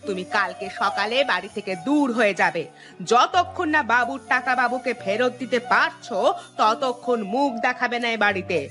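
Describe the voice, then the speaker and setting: Cartoon role: woman playing a stern wife, high voice, scolding, faint music. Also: normal speed, lightly acted. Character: sharp-tongued village wife. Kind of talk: Bengali cartoon voice acting.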